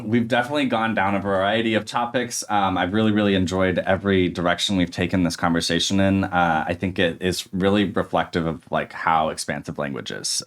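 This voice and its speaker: A young man hosting a casual podcast, his voice sitting very low. He talks in a thoughtful, hesitant way, often restarting his sentences as he works out his thoughts. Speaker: podcast host. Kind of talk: casual interview conversation.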